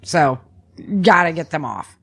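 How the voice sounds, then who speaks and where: A woman's voice: sits low, lively intonation, unhurried, gravelly, slightly rough. A woman hosting a podcast, talking informally and instructively through survival tips from notes and often checking in with a "right?". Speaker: podcast host. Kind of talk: conversational survival advice from notes.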